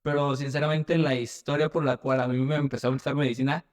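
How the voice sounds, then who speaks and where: A man's voice: medium pitch, little pitch movement, fast talker. A man in his twenties from Mexico City with a Mexican accent, answering casually as an interview guest. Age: 20s. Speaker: interview guest, medical student and paramedic.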